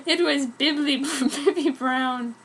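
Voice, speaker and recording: A woman's voice: sits high, slow pace, fairly bright tone. A woman giving a dramatic comic reading of fan fiction, amused yet mock-serious. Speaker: reader. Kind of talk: dramatic comic narration of a fanfic.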